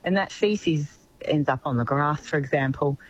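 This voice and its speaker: An Australian woman with a slightly croaky voice, giving expert veterinary advice as a guest in a radio interview. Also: low-pitched, normal speed, dull audio.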